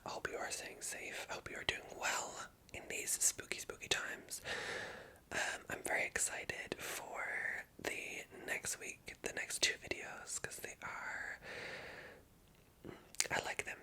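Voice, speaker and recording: An ASMR creator speaking in a soft whisper, chatting casually and rambling along as they address viewers directly. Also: poor audio. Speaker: ASMR video creator and storyteller.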